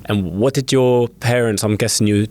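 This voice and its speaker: A young man with a fairly low voice hosts an interview. He keeps the conversation moving with eager, quick follow-up questions. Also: darkish tone. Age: young adult. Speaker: podcast host.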